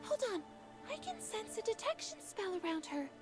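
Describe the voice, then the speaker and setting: A woman's voice: very high voice, sing-song, moderate pace. An actress playing a poised young female companion who speaks in an earnest, articulate way. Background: game music.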